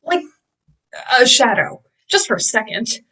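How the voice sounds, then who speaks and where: Woman acting anxious young mechanic trainee, husky, slow and halting, swinging pitch, amateur drama read. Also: sing-song, pushed and bright, heavily acted. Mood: nervous, shaken. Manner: hesitant, halting. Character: nervous, awestruck young male mechanic trainee. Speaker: table read performer. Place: online video call table read.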